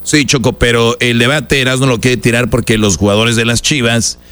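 Mexican guy co-host, average pitch, chatting and explaining stuff over faint music. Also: level tone, normal speed, a bit bright, clean tone. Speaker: radio show co-host. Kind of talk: casual explanatory chat.

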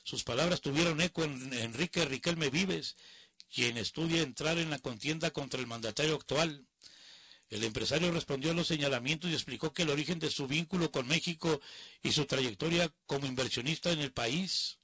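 A man's voice: fairly high pitch, moderate pace, sharp tone, husky, no echo. A man reading news items aloud and breaking in with mocking, opinionated asides. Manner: mocking, opinionated asides between read passages. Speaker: news commentator. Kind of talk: reading news with casual commentary.

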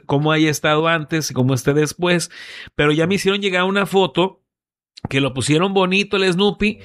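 A man with a Mexican accent, hosting a morning radio show with casual, chatty banter. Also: on the high side. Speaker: radio host.

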